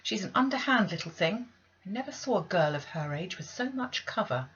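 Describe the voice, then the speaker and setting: A woman's voice: medium pitch, animated, brisk, fairly bright tone, dull audio, voice pushed up, natural voice. A woman voicing a stern, pious lady's maid in a classic novel, sharply reproachful and moralising. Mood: disapproving.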